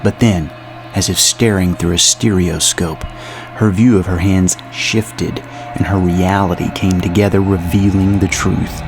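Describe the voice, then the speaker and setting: A man with a low voice narrates a horror tale. His storytelling is measured and ominous, as in a scripted audio drama. Loud music runs beneath his words. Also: fairly even intonation, poor audio.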